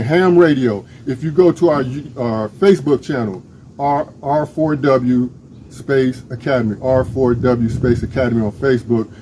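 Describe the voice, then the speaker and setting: American man, mid-pitched voice, earnest and urgent, informal community address, repetitive emphasis, phone recording with background noise. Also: warm tone. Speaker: emergency preparedness and ham radio organizer.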